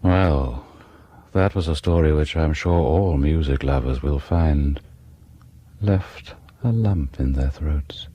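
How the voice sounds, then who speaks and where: Sinister, very deep horror host. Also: male voice, slightly rough, dry recording, dull audio, heavily acted. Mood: sardonic, sinister. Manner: sardonic, deliberate. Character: sinister, darkly witty male horror host. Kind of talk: scripted radio horror narration.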